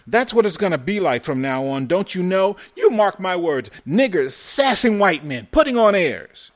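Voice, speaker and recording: Man acting a high, shaky, belligerent young soldier. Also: very animated, brisk. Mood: furious, indignant.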